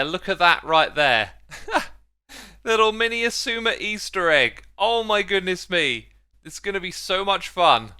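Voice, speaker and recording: A man with a slightly high, bright voice that is very clean and smooth, recorded dry and close. He chats casually as he hosts a gaming video, dropping in playful exclamations. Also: no room sound. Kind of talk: casual gameplay commentary.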